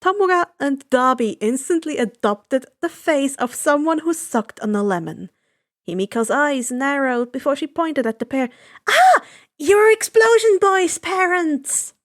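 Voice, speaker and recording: A woman reading fan fiction aloud as expressive scripted narration. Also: high-pitched.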